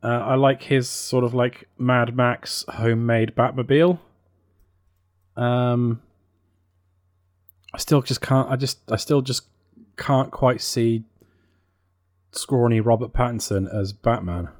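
A man talks as a podcast co-host in casual conversation, recorded very dry. He thinks aloud, often hesitant as he feels for the words, at an ordinary male pitch. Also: steady tone, slow, pauses often, a little mellow, dry recording. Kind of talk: casual chat about games and films.

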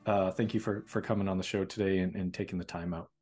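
Low-voiced man hosting, halting and friendly. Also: little pitch movement, darkish tone. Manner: friendly, repeats words and restarts often. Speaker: podcast host. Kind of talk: interview hosting.

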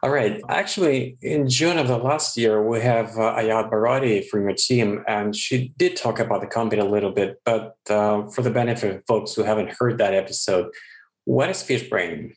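Low-voiced male podcast host in an echoey room. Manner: halting, with filler words. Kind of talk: podcast interview hosting.

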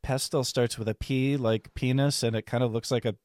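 A man's voice: mid-range voice, fairly even intonation, a little fast, darkish tone, dry recording, studio quality. A man hosting a podcast, chatting casually and joking, often laughing. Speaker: podcast host. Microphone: Skype call.

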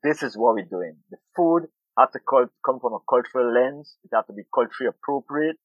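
A man's voice: mid-range voice, fairly quick, no echo. A middle-aged man from Guyana, speaking as an interview guest about farming, thoughtful and often repeating words as he searches for them. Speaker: urban farmer and food activist. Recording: tinny phone-like line.

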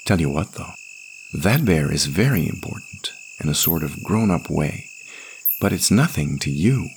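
A man voicing a gentle, motherly woman in a story, coaxing and comforting a child softly. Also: a bass voice, animated, normal speed, a little hiss or hum. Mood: coaxing, urgent.

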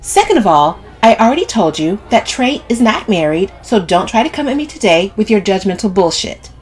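A woman's voice: medium pitch, big pitch swings, brisk, a little mellow, pitched above the performer's own voice, close to an everyday voice. A woman narrator voicing an earnest, defensive, moralizing woman in an audiobook story, insistent and pleading. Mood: defensive, snappish.

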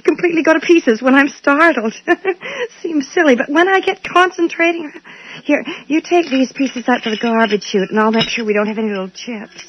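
A fluttery, genteel receptionist played by a woman in a radio drama, chatty and fussy. Her voice is fairly high, on a dull, muffled old recording. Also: normal speed, lightly acted. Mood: fussy, apologetic. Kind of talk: 1980s radio drama acting.

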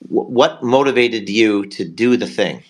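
Hoarse male talk show host. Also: lowish voice, lively intonation. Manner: rapid, rambling, promotional. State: hoarse from a cold. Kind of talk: live online talk show.

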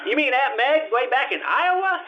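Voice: high voice, very animated, normal speed, a little hiss or hum. A performer playing an eager, curious, innocent ten-year-old boy who blurts things earnestly in old-time radio drama style. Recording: tinny, phone-like radio sound.

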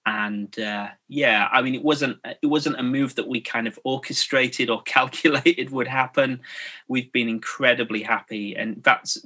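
Bright-voiced pastor. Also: male voice, on the low side. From North Yorkshire, England. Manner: modest, self-deprecating, many fillers. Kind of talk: casual interview chat.

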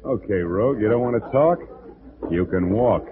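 A man's voice: on the low side, expressive, moderate pace, natural voice. A man playing a menacing gangster thug with blunt, threatening slang in a 1940s radio crime drama. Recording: noisy, tinny 1940s radio recording.

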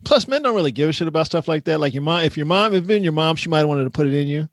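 Teasing man with a higher voice. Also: lively intonation, rapid-fire, dry recording, clean studio sound. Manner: teasing, blunt, swears and laughs easily. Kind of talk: casual chat.

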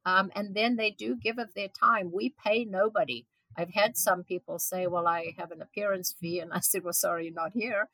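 A woman's voice: mid-range voice, steady tone, normal speed, hardly any pauses, bright, forceful tone, no echo, rough recording. A woman in her seventies from South Africa, a guest answering interview questions earnestly, with frequent ums and restarts. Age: 70s. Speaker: guest, event organizer.

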